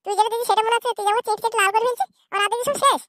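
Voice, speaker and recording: A woman's voice: extremely high pitch, steady tone, rapid-fire, a bit bright. A woman voicing a fickle, money-minded young girlfriend in a comedy cartoon, dismissive and snappish. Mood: angry, dismissive.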